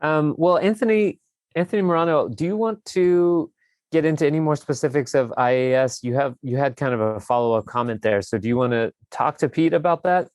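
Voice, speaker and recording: A man's voice: on the high side, a little fast, a little mellow, no room sound, studio quality. A man casually moderating an online discussion and handing over to participants. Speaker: session moderator.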